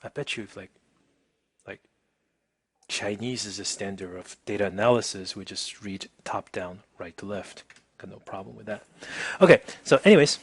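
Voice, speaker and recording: A man teaching a casual software demonstration, informal and chatty, often checking in with the listener, with a husky voice. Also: lowish voice, normal speed. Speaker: instructor.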